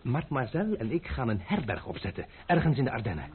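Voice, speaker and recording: A man's voice: medium pitch, sing-song, fast, slightly rough. An actor playing a grumbling, hot-tempered man in love in an old radio play, cursing and exclaiming theatrically. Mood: boisterous. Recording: narrow-band old radio recording with hiss.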